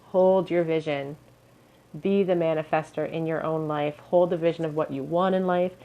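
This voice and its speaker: A woman giving a pick-a-card tarot reading in a musing tone, her voice a little hoarse and scratchy, often clearing her throat. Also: deep voice, fairly even intonation, moderate pace, dull audio. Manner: musing, hedges with "I feel". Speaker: tarot reader.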